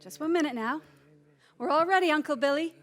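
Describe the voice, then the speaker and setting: A woman's voice: sits high, fairly quick, very noisy, natural voice. An actress playing a devoted, eager young wife and mother in an old-time radio drama. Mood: eager, excited.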